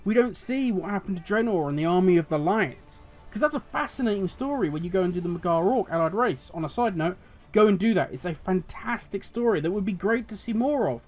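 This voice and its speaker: A man with a notably high voice, his throat worn and going hoarse. He rambles passionately through his thoughts, musing aloud as the host of his own commentary video. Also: lively intonation, brisk, soft background music. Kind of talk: solo commentary on game lore.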